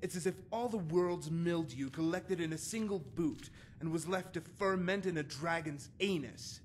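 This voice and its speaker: A man's voice: on the high side, moderate pace, close to an everyday voice. Man acting a haughty, pompous male bard, noisy recording. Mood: scornful. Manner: pompous, florid, scornful. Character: haughty, prickly, wounded-pride male poet and bard. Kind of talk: scripted fantasy game dialogue.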